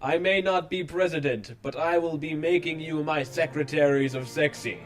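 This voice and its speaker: A man voicing a smug, grandly calm cartoon cat hero in a storybook parody. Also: fairly high pitch, moderate pace, over music, natural voice, rough recording. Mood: smug seduction.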